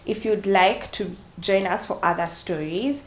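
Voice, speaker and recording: A woman's voice: mid-range voice, measured pace. A woman hosting a children's reading show, addressing viewers in a presenter's style. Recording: echoey room with faint background noise.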